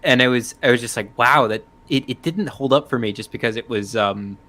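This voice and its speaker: Man talking haltingly on a casual panel. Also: medium pitch, sharp tone.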